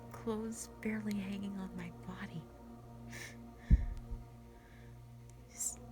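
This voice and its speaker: A woman playing a traumatised, anguished young woman in an audio drama monologue, speaking haltingly and often hushed. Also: a little high, natural voice. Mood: pained, seething. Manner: halting, hushed, breaking into whispers. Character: traumatised young woman confessing a harrowing past, anguished, broken. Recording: music behind.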